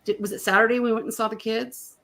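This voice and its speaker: An older woman, a grandmother, co-hosting a casual chat and telling animated stories with frequent laughter. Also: fairly high pitch, quick pace, a crowd in the background. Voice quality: voice worn from years of teaching. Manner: animated storyteller, laughs often. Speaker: co-host. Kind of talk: casual chat on a streamed art show.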